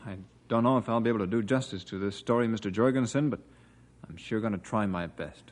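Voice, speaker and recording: A man's voice: fairly low pitch, fast, close to an everyday voice. An actor in a 1950s radio drama playing a polite, sympathetic man who listens to a sad story.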